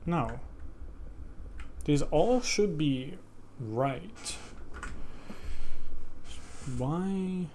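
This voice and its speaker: A man thinking aloud on a casual programming livestream, halting and self-deprecating as he mutters to himself. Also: fairly high pitch, animated, darkish tone, a little hiss or hum. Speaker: live streamer coding a game.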